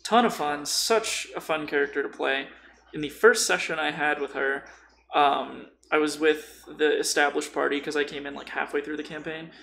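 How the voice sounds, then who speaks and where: Guy with a slightly high creaky voice rambling casually about tabletop games, bit of background noise. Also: fairly even intonation, normal speed, bright, forceful tone. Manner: informal, rambling with tangents. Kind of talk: casual chat about tabletop role-playing.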